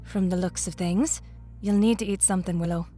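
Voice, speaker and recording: A woman's voice: fairly low pitch, fairly even intonation, brisk, mellow, faint music behind, lightly acted. A woman playing a smug, scheming stepmother in an audio drama, with a mocking, condescending and needling manner. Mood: falsely sweet, smug. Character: smug scheming stepmother, falsely sweet then openly cruel.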